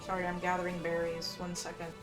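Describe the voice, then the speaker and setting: A woman's voice: lowish voice, monotone, quick pace. A woman giving casual gameplay commentary, rambling and thinking aloud as she plays. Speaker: gaming streamer. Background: video game music.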